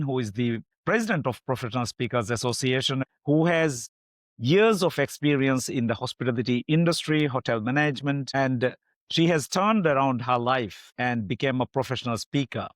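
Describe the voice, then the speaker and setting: A man's voice: mid-range voice, no echo, clean studio sound. A man hosting a podcast interview, asking friendly, brief questions. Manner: friendly, brief prompts, non-native English phrasing. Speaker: podcast host.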